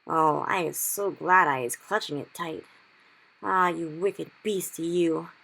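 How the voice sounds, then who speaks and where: A woman's voice: fairly low pitch, lively intonation, normal speed, vocal fry, full character voice, low-quality recording. A woman voicing a big, friendly male giant who mangles his grammar and cries out in anguish over a caught nightmare. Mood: distraught. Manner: ungrammatical made-up words, loud anguished exclamations.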